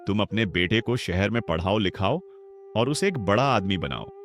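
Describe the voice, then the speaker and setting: A man voices a generous village headman in a cartoon, confident and kindly condescending. His voice is low and moves lively in pitch. Music plays clearly behind him. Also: moderate pace. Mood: benevolent. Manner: benevolent, confident. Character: generous older male village headman, warm and patronizing. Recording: background music under voice.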